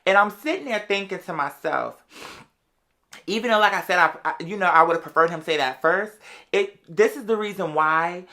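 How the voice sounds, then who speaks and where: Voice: medium pitch, bright, forceful tone. A young adult with an American accent telling stories to viewers in a sassy, slangy, conversational way. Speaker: vlogger. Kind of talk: casual storytelling to viewers.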